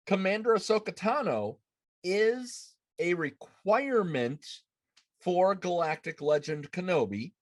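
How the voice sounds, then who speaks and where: A man hosting a casual gaming discussion, explaining things in a deliberate way. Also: on the high side, dry recording, clean studio sound. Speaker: podcast host. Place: room at home, on a video call.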